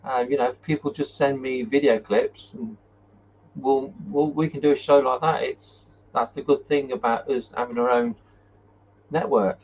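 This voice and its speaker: A man hosts an informal live chat, reading out comments in a halting, everyday way. His voice sits at a middling pitch. The audio is dull, with faint noise behind it. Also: fairly even intonation, a little mellow. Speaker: host of a paranormal live chat. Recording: muffled, noisy live-stream audio.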